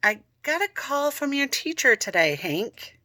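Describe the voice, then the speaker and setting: Woman reading as a stern storybook dad, serious and measured, bright tone. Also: high-pitched, sing-song, voice pushed up, full character voice. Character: stern middle-aged father laying down rules.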